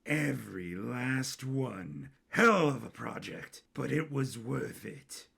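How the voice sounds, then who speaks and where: A cold older king from an audio storybook, acted out by a male narrator. He speaks slowly and regally, drawing out his menace, with a creaky edge to the voice. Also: medium pitch, lively intonation, a bit bright, pitched below the performer's own voice, full character voice. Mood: gloating.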